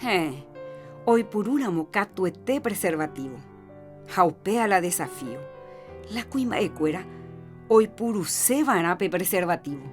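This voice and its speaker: A woman hosting a health radio program, explaining calmly and playing a counselor in its drama. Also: mid-range voice, a little slow. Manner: calm, explanatory. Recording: radio program with background music.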